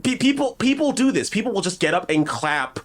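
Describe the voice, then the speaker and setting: A man's voice: sits high, big pitch swings, brisk, slight background noise. A man co-hosting a podcast, ranting casually for laughs in a sarcastic, profane, incredulous way. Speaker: podcast co-host.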